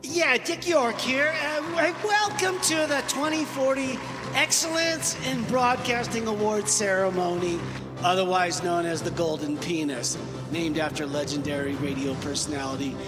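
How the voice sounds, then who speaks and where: A man playing a brash awards-show master of ceremonies in a radio comedy sketch, with a declamatory, halting delivery. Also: high-pitched, natural voice, rough recording. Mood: grandiose. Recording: loud music under the voice.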